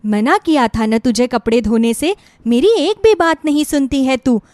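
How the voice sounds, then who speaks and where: A woman's voice: sits high, expressive, normal speed, darkish tone, dry recording, clean studio sound. A woman voicing a caring older mother-in-law in a moral story, fussing and insistent in a motherly way. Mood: worried scolding.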